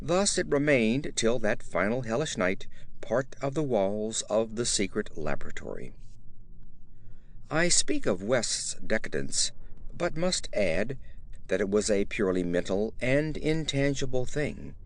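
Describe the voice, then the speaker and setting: Expressive male horror narrator, swooping intonation. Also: lowish voice, measured pace. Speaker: audiobook narrator. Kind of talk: dramatic scripted narration of a horror story.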